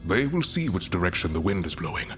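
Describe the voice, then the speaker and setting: An actor playing a stern, measured middle-aged patriarch who rules a fantasy mountain council, speaking formally and deliberately. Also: male voice, low voice, sing-song, a bit bright, faint music behind. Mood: measured, reasoning. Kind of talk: fantasy audio drama acting.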